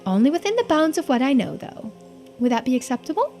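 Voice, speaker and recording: A woman voicing a reserved, cryptic keeper of mermaid lore in a video game, composed and deliberate. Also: high voice, big pitch swings, warm tone, soft background music.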